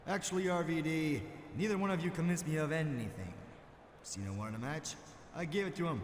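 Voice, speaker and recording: A man's voice: on the high side, a little fast, natural voice. A man acting as an argumentative pro wrestler in a video game cutscene. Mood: blunt. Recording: echoey hall with faint noise.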